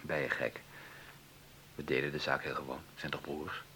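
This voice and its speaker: An actor in a Dutch radio play playing a brash, broke lawyer who talks in a glib, slangy, persuasive way. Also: male voice, a bass voice, level tone, normal speed, sharp tone, husky, full character voice, poor audio. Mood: cool, probing. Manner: glib, slangy, persuasive, hesitating over delicate words. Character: brash, scheming lawyer brother, broke and pushy. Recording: hissy old radio play recording.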